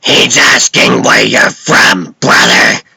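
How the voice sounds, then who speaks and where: A man playing a formal senior warrior in a comic dub, with a harsh gravelly rasp and pompous, ceremonial phrasing. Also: mid-range voice, sing-song, takes it slowly, pushed and bright, no room sound, studio quality, exaggerated voice. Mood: formal, lofty. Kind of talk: theatrical comic dub acting.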